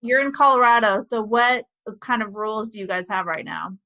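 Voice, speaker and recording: A woman hosts a podcast in a casual, effusive way, heaping praise on her guest. Her voice is bright and a bit sharp, pitched somewhat high. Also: lively intonation, normal speed. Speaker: podcast host.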